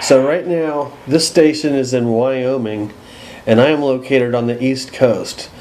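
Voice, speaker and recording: Casting: American male demonstrator, unscripted and explanatory, medium pitch, indoor recording with noticeable noise behind. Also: measured pace, darkish tone, rough recording. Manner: plain-spoken, explanatory. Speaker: host demonstrating a radio. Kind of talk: casual unscripted product walkthrough. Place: indoors at home.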